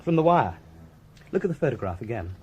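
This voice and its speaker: A British forensic doctor in a radio crime drama. His husky, mid-pitched voice swoops with lively emphasis as he explains things precisely. He sounds pleased with his own cleverness and enjoys instructing. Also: brisk, lo-fi sound. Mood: pleased, instructive. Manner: didactic, precise, self-satisfied. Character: brisk, relishing male forensic doctor, theatrically pedantic.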